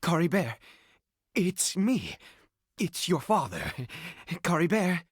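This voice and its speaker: An actor playing a grieving, desperate father in a video game, pleading in a tearful, breaking voice with halting, dramatic delivery. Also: high-pitched, huge pitch swings, breathy edge, no room sound, exaggerated voice. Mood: tender, remorseful. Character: grieving desperate father, bitter toward the gods.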